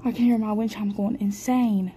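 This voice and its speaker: A woman's voice: medium pitch, steady tone, moderate pace, dark tone. A scared, shaky woman giving breathless, exclaiming commentary into a handheld phone. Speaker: amateur storm videographer. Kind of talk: excited running commentary on a phone video. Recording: noisy amateur phone recording, storm ambience.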